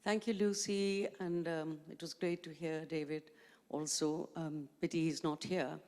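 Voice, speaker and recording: A woman's voice: low voice, steady tone, a little slow, warm tone. A middle-aged woman from Pakistan whose first language is Urdu, speaking as a panelist in a panel discussion with reflective storytelling. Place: large conference hall stage. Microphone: handheld mic. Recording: long hall reverb.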